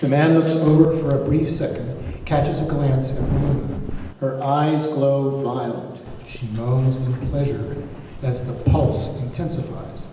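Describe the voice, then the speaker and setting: A man's voice: medium pitch, steady tone, measured pace. A man reading screenplay stage directions as narrator at a table read. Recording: very echoey hall, distant mic, faint noise.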